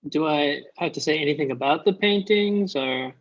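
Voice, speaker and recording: A man's voice: on the high side, lightly acted. Muffled, awkward guy friend read by an actor. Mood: puzzled. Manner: halting, casual, awkward. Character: awkward, reluctant male friend in his thirties. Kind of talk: comedy pilot table read. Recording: muffled, dull online call audio.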